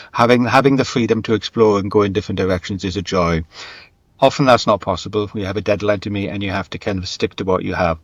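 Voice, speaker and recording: A man's voice: low-pitched, dry recording. A middle-aged or older British man answering interview questions thoughtfully, often repeating words as he forms his ideas. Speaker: writer being interviewed, script editor and teacher. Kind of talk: reflective interview conversation.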